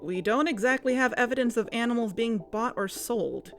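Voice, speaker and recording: An actress playing a measured, practical investigative podcast host in an audio drama. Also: female voice, a little high, faint music behind, lightly acted.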